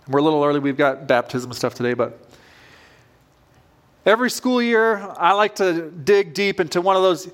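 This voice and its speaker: A man's voice: on the high side, expressive, moderate pace, fairly bright tone. A man in his forties preaching a sermon as a pastor in an informal, conversational storytelling manner. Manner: informal, self-questioning storytelling.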